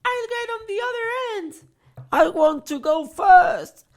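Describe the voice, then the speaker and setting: A woman voicing a group of excited young children in a very high, squealing voice. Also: a little slow, heavily acted.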